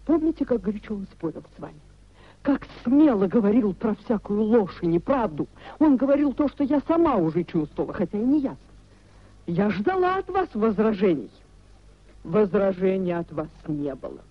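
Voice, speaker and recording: An actress playing a proud, wounded wife who reproaches in a measured, declamatory 1950s radio drama style. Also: female voice, mid-range voice, husky. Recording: dull 1950s radio recording.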